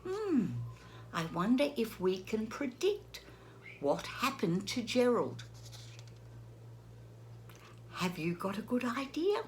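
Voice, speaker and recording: Slow, lively woman reading to kids. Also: fairly high pitch, slight background noise. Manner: gentle, slow, asks the listener questions. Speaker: teacher reading to children. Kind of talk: children's read-aloud lesson.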